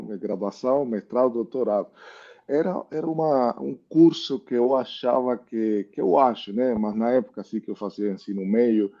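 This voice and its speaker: Cuban man, dark, muffled voice. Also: on the low side. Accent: Cuban Spanish. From Cuba. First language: Spanish. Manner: thoughtful, many "né?" tags. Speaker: meteorologist guest. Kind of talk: casual interview.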